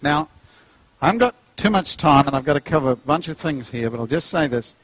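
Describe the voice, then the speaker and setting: A middle-aged New Zealand preacher speaking in a slightly high, husky voice. He jokes, acts out characters, and lets his pitch leap high for effect. The sound is dry and close. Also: lively intonation, a little fast, dry recording, poor audio. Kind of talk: animated sermon with humor.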